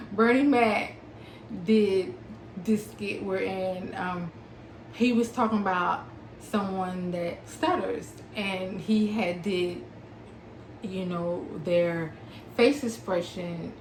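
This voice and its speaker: A young adult woman talking to her viewers, stuttering with long pauses and blocks and smacking her lips between words. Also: mid-range voice, echoey room, slight background noise, low-quality recording. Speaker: small business owner and author. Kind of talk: personal talk to camera.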